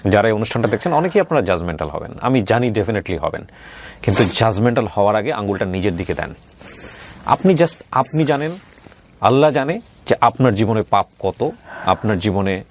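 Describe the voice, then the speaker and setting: A man's voice: on the low side, expressive, brisk, slight background noise. A Bangladeshi man hosting an interview show, speaking emphatically and mixing in English words. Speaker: interview host. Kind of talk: talk show interviewing and addressing viewers.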